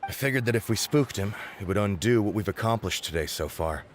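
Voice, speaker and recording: A man's voice: lowish voice, animated, brisk, a little hiss or hum, lightly acted. An actor playing a dutiful male subordinate in a game, reporting earnestly and methodically to his superior. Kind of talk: game dialogue.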